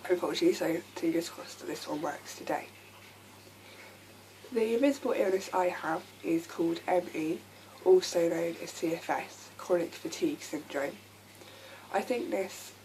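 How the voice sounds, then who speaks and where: A young woman with a croaky voice from a lasting sore throat, talking candidly to camera with many ums. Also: lowish voice, dark tone, slight background noise, rough recording. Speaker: vlogger. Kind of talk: casual talk to camera.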